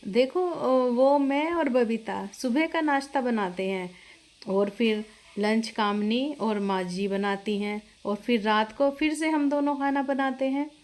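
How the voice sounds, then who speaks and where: A woman's voice: a little high, measured pace, a little mellow, lightly acted. A woman voicing a chatty eldest sister-in-law who hands out chores in a family story. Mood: matter-of-fact.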